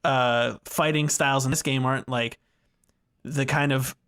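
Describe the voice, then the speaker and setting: A man's voice: mid-range voice, fairly even intonation, unhurried, a bit bright, clean tone. A man co-hosting a podcast, chatting casually about video games in slangy language with casual swearing. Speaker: podcast co-host.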